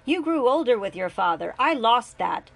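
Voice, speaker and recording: A bitter, worn-out young man, as a woman reading the story puts him on, sardonic and quick to flare into anger. His voice rises in pitch and volume when he is hurt, and every line is sharp and accusing. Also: animated, heavily acted. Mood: furious.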